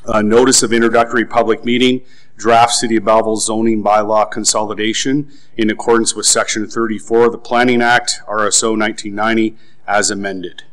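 A man's voice: on the low side, flat delivery, fairly quick, a bit bright. A man chairing a public committee meeting, making formal procedural announcements at a desk microphone in a council chamber.

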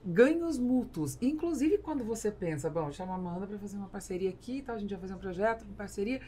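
She is a Brazilian woman with a medium-pitched voice. She sounds like a podcast host in conversation. Her questions and comments come out in measured steps. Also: animated, warm tone, a little hiss or hum. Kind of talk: conversational interviewing. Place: small foam-lined podcast studio. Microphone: studio microphone on boom arm.

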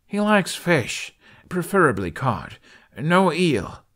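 An earnest, determined young Viking man, voiced by a male narrator, speaks in audiobook storytelling. His pitch is lifted and his intonation lively. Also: slow, heavily acted. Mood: cheerful.

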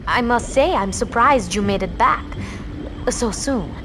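Mysterious solemn swamp mystic. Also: female voice, fairly high pitch, animated, over loud music. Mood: solemn, commanding. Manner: measured, grave, cryptic. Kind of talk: video game cartoon acting.

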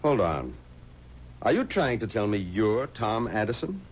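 An actor playing a skeptical, upright small-town undertaker, gruff and formal, in a 1970s radio drama. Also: male voice, fairly low pitch, very animated, measured pace. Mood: wary, questioning. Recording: dull, hissy 1970s radio recording.

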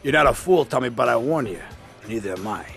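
Gravelly, menacing mob boss. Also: male voice, medium pitch, lively intonation, a little fast, fairly bright tone, soft background music. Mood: cold threat. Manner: domineering, ends phrases with pushy tag questions. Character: menacing, smooth-talking male mob boss, middle-aged. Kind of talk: video game cutscene acting.

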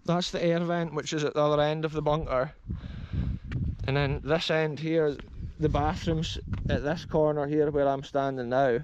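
A man's voice: on the high side, fairly even intonation, moderate pace, dull audio, noisy background. A Scottish man in his thirties, exploring an open field and talking eagerly to viewers while filming himself on an action camera. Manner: enthusiastic, addresses viewers as folks. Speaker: explorer vlogger. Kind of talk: walking tour commentary. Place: open grassy field outdoors. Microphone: action camera held close.

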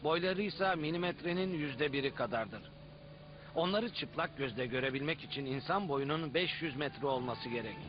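A man's voice: a little high, moderate pace, natural voice. A man playing the patient, explanatory storyteller-narrator of an educational cartoon. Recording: muffled audio with music behind.

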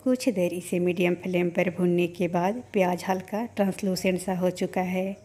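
A woman guides listeners through a home recipe in a calm, measured voice-over. Her voice sits low and warm, moving in a narrow, even line. Faint background music plays beneath her steady instructions. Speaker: cooking channel host.

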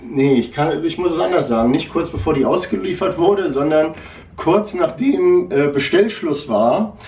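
Give me a chatty middle-aged German shop owner, a little high, heard from across a roomy shop. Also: male voice, lively intonation, moderate pace, darkish tone, distant mic, slight background noise. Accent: northern German. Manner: chatty, rambling with many fillers and restarts. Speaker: comic shop owner presenting new merchandise. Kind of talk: casual product chat. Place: shop room with posters, indoors. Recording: roomy, from off the mic.

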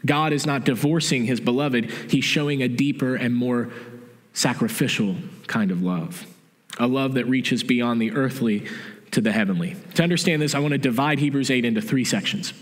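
Middle-aged man, pastor, sermon, mid-pitched voice, steady explaining, echoing church hall. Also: moderate pace. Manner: explains calmly, restates key points. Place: church sanctuary with stage. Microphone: stage mic from a far camera. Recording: long church reverb.

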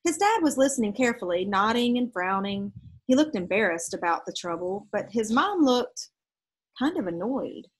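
A woman's voice: mid-range voice, sing-song, normal speed, breathy edge. A woman in her thirties reading a children's storybook aloud expressively outdoors. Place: outdoors on a leafy backyard porch.

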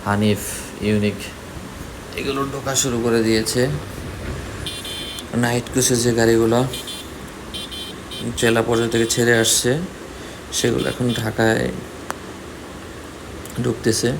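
Flat-toned Bangladeshi man over road noise. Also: mid-range voice, unhurried, pauses often. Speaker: vlogger. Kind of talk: casual commentary while riding a motorbike. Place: outdoors on a moving motorbike in city streets. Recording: clear traffic and wind-like road noise. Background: vehicle and road noise.

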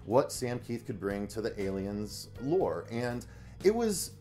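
A man's voice: on the low side, big pitch swings, slow pace, warm tone, soft background music. A man in his thirties with an American accent, co-hosting a conversational comic book review. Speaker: review show co-host. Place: small indoor room lined with comic shelves.

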